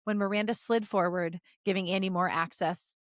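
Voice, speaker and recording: A woman with a mid-range voice hosts in a playful way, slipping between expressive reading and casual asides. She is close on the microphone with very little room sound. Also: medium pitch, normal speed, dry recording. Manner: playful, giggly. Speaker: podcast co-host reading fan fiction aloud. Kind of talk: expressive story reading mixed with casual banter. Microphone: close podcast microphone.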